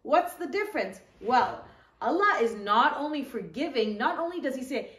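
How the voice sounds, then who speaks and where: A woman reads a story to children in a fairly high voice that rises and falls with great animation. She breaks off for asides and puts questions to her young listeners. The room around her is noticeably echoey. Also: moderate pace. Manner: animated, asks listeners questions, acts out short quotes. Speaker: children's storyteller reading her own book.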